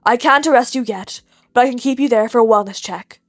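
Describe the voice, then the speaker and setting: A woman voicing a gruff, dry, annoyed grown man, a stern hero, in a deadpan, slow manner for an audio story. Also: fairly high pitch, no echo, faint music behind, close to an everyday voice.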